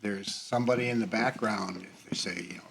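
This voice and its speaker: A man's voice: fairly low pitch, a little fast, creaky, fairly bright tone, slight background noise. An older American man talking casually and earnestly as a guest from a church group. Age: older, over 50.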